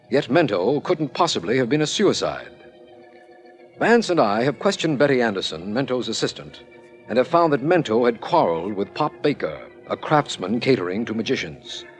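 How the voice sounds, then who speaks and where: An actor playing a sober, methodical district attorney in formal, measured 1940s radio style. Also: male voice, medium pitch, lightly acted. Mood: brisk, official. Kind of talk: 1940s radio acting, narration and dialogue.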